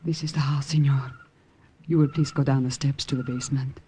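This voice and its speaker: Female role: secretive young Italian signorina, mellow breathy murmur, faintly noisy vintage radio recording. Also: extremely low pitch, steady tone, a little fast. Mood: guarded, reassuring. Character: young, frightened Italian woman, urgent, polite, secretive. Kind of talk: old-time radio drama acting.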